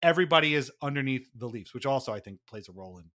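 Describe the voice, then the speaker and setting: A man's voice: mid-range voice, lively intonation, racing, sharp tone. A man co-hosting a sports podcast, giving opinionated commentary in an emphatic, wryly exasperated way. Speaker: podcast co-host.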